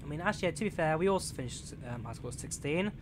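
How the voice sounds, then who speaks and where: A man's voice: fairly high pitch, expressive, fast talker. A young man of about twenty from England, chatting casually with his live-stream viewers while he plays. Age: young adult, turning 20. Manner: rambling and chatty, reads chat aloud with many fillers. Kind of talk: casual live-stream chat while playing a train simulator. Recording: game train noise clearly behind the voice. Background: train simulator engine and rail sounds.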